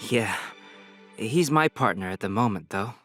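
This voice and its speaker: A man's voice: lowish voice, lively intonation, unhurried, a bit bright, soft background music. A man playing an earnest young detective in a video game, casual and a little dry in manner. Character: earnest young male detective searching for his missing father. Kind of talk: video game cutscene acting.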